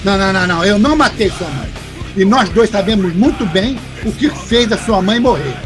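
A man acts out a middle-aged tyrant of a father in an audio drama. His voice is high for a man, aggressive and full of curses and threats, with music clearly playing behind it. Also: animated, a little fast. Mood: bitter, angry. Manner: aggressive, cursing, barking orders.

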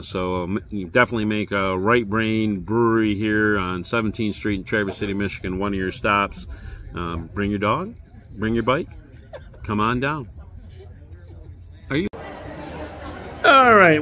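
An older man in his sixties giving a relaxed, rambling beer-garden tour, filmed on a handheld phone outdoors. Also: medium pitch. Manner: relaxed, rambling, many fillers. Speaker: beer review vlogger. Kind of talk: casual vlog walk-through. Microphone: phone camera at arm's length.

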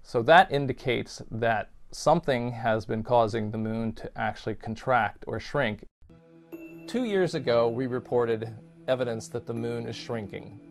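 A middle-aged man explains findings like a scientist being interviewed. His voice is mid-pitched with noticeable creak, and music plays softly behind him. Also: measured pace. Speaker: research scientist. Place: indoor office.

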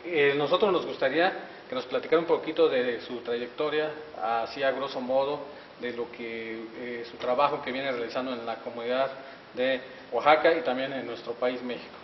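A man's voice: mid-range voice, steady tone, normal speed, a little hiss or hum. A middle-aged male reporter interviewing guests on community TV, effusive and congratulatory, with many fillers, holding a handheld mic indoors. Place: wood-paneled auditorium hallway, indoors. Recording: echoey hall from a distance, dull sound.

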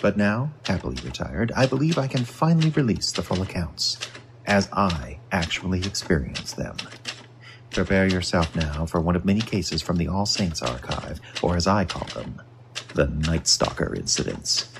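An actor playing a world-weary retired newspaper reporter, narrating an audio drama in an earnest, wry first person. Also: male voice, sits low, lively intonation, normal speed, noisy background. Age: older.

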